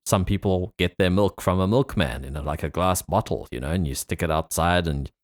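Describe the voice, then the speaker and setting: A man's voice: low voice, fairly quick, no echo, studio quality. A man hosting a podcast, talking in a casual, reflective way with a tentative, meandering delivery. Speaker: podcast host.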